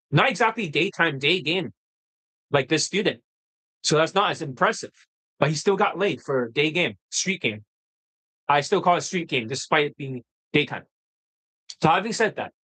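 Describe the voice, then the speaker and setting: A man's voice: a little high, fairly bright tone, poor audio. A man coaching on dating in a livestream monologue, blunt and lecturing, swearing casually.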